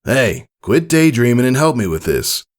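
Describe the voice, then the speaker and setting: Storybook pony father, acted by a male reader: upbeat, in charge, gently bossy, animated pitch. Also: normal speed, lightly acted. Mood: brisk, bossy. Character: cheerful, commanding pegasus pony father. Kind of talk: storybook character reading.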